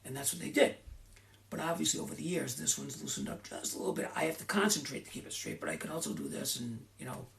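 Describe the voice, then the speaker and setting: A man's voice: fairly high pitch, huge pitch swings, fast, creaky, a bit bright. A middle-aged man hosting a live question-and-answer stream, talking in a rambling, chatty way with many restarts and asides. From Rhode Island. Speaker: host of a live Q and A. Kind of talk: rambling casual chat.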